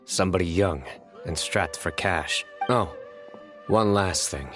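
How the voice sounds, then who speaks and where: Low-voiced, stern young investigator. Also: male voice, music in the background. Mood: measured, serious. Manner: deliberate, authoritative, deadpan. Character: stern, composed young male investigator and law enforcer. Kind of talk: anime game voice acting.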